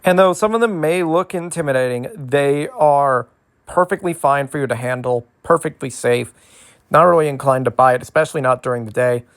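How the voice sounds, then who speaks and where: Someone doing a casual asmr explainer, mid pitched voice, detailed with little playful asides. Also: moderate pace. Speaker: host explaining bugs. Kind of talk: informal educational ASMR explainer.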